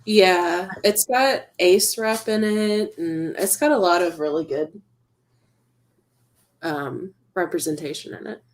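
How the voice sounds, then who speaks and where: A woman chatting casually with a reading group over a video call, soft-spoken and agreeable. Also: fairly low pitch, slow. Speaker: guest reader. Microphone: video call mic.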